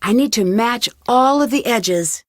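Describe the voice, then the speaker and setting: A woman playing a curious, determined young amateur detective in an adventure game, thinking aloud in clearly enunciated remarks. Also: fairly high pitch, animated, measured pace, dry recording, clean studio sound. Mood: focused, puzzling.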